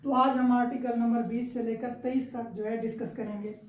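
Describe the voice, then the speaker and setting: A woman's voice: on the high side, little pitch movement, a little fast, a little mellow. A woman with a Pakistani accent giving an informal explanatory law lecture, teacherly, repeating her points and checking in often. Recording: echoey, roomy sound.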